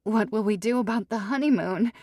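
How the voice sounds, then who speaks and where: A woman's voice: fairly high pitch, fairly quick, a little mellow, no room sound, studio quality, voice pushed up. A woman voicing a naive, stubborn jilted young bride in an audiobook, pleading and rambling in a breathless manner. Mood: lost. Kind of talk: audiobook character dialogue.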